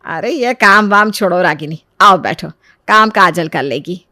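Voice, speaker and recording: A woman's voice: on the low side, animated, unhurried, sharp tone. A woman playing a domineering, spiteful middle-aged mother-in-law in a story, scolding and bossy. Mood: contemptuous. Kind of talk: Hindi moral story voice acting.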